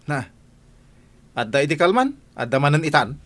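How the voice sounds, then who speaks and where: A man's voice: a little high, very animated, normal speed, a bit bright, no room sound. A man acts out a middle-aged male office utility worker who would rather lounge than work. His voice swoops widely as he jokes, whines and exclaims, in comic radio-play style. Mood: casual. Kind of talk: Ilocano comedy radio drama acting.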